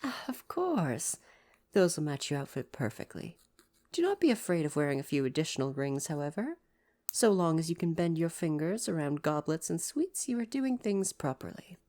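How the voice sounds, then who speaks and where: A man's voice: fairly high pitch, sing-song, moderate pace, a little mellow. A man playing a devoted, proper royal butler in an ASMR roleplay, speaking to the listener in a formal, deferential, fussily attentive way. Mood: attentive, reassuring.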